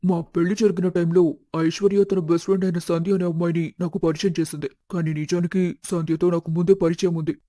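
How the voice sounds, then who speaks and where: A man voicing a worried young husband in an animated moral story, polite and serious. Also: high voice, fast talker, hardly any pauses, dry recording, studio quality, lightly acted. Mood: puzzled, serious.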